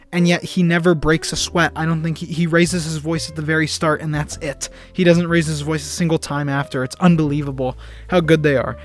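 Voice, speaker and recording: A young man giving a casual film review. He talks earnestly and enthusiastically and often repeats words and restarts his sentences. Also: a little high.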